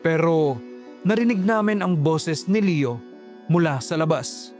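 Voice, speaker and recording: A man's voice: fairly high pitch, lively intonation, unhurried, music in the background. A man narrating a first-person horror story in a tense, dramatic way.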